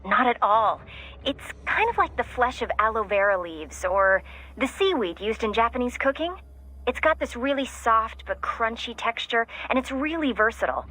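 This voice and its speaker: Friendly female support operator, higher pitch, crisp bright tone, chatty, playful, game dialogue. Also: lively intonation, moderate pace, rough recording. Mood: friendly, teasing. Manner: chatty, enthusiastic. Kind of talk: English video game voice acting.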